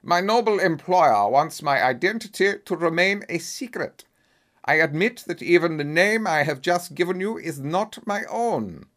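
A man voicing a huge, secretive masked nobleman in a storybook, with a German accent and a booming, pompous, formal delivery. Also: animated, normal speed, a bit bright. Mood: secretive, urgent. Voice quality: deep, booming.

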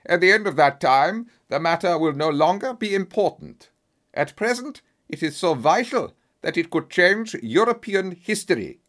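A man voicing a huge, secretive masked nobleman in a storybook, with a German accent and a booming, pompous, formal delivery. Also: lively intonation, moderate pace, a bit bright. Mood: grave, commanding. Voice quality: deep, booming.

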